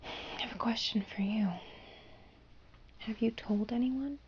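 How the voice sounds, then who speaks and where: A young woman voicing a gentle female fairy in human form, whispering softly and reassuringly. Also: a little high, a little slow, dull audio, background noise, lightly acted. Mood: soothing. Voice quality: breathy whisper. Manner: soft soothing whisper, reassuring and hushing. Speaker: ASMR roleplayer. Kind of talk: whispered ASMR roleplay.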